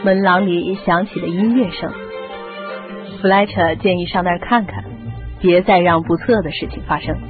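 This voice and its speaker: A woman's voice: lowish voice, fairly even intonation, normal speed. Woman narrating, muffled, music behind. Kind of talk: scripted radio drama narration.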